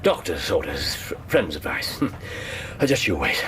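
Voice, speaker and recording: A very deep-voiced English detective in a radio drama. He is proud and brilliant but worn out and melancholy, speaking in brusque, sardonic fragments. There is faint noise behind him. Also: big pitch swings, takes it slowly, fairly bright tone, heavily acted. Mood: restless, eager.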